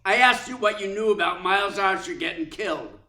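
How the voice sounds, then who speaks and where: A man's voice: sits high, lively intonation, a little fast, sharp tone, exaggerated voice. A man playing a suspicious, curt police lieutenant in an old-style radio crime drama, pushing for answers. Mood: impatient, pressing. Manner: curt, pushy, interrogating. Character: gruff, suspicious male police lieutenant grilling a suspect. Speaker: actor in a radio play. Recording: roomy echo.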